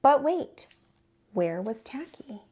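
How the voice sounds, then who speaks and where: A woman narrating a children's storybook as a storytime teacher, in an expressive read-aloud style. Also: a little high, slow pace, slightly rough.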